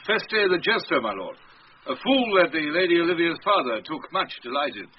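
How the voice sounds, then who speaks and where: An actor playing a deferential gentleman attendant to a duke, in a Shakespearean radio drama. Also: a little high, sing-song, moderate pace. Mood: respectful. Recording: muffled, faintly noisy radio broadcast.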